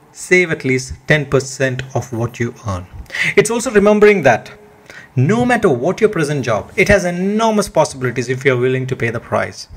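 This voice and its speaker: Husky-voiced man reading motivational material. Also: medium pitch. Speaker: reader of a self-help speech. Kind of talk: motivational read-aloud.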